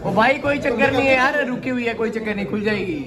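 A man's voice: very high voice, quick pace. A young man from India chatting casually for a vlog, repeating his phrases for emphasis. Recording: noisy crowd of voices around.

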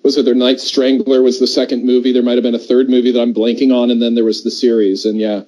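A man's voice: mid-range voice, level tone, fast talker, warm tone, poor audio. A middle-aged man with an American accent, chatting casually and explaining things at length as a podcast co-host. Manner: discursive, explanatory. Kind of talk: casual chat.

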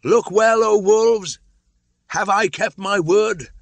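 A bold young jungle boy of a storybook, acted by a grown man. He is commanding and scornful. The voice is pitched up and thrown out loud, like someone used to calling wolves. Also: slow pace, dry recording. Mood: triumphant calling. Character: Mowgli, bold defiant boy raised by wolves.

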